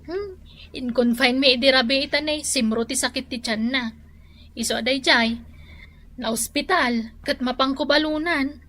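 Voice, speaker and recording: High-voiced, exasperated Ilocano daughter. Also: female voice, normal speed, fairly bright tone. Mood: friendly. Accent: Filipino, Ilocano. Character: exasperated grown daughter, chiding yet dutiful. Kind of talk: radio drama acting.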